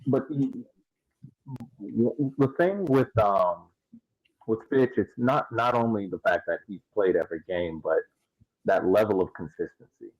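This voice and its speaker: A man co-hosting a podcast, giving casual sports analysis in halting, measured phrases. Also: lowish voice. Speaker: podcast co-host. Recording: dull lo-fi audio.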